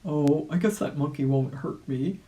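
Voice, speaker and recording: A woman voicing a plucky, good-natured old gentleman rabbit, musing earnestly in a storybook reading. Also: sits low, moderate pace, close to an everyday voice, rough recording. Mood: bold.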